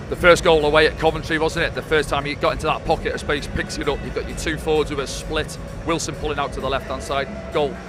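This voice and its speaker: A man, a former player, giving live football analysis, chatty, with fillers and tag questions, speaking outdoors at a stadium. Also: mid-range voice. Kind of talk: live pre-match analysis. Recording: music playing behind.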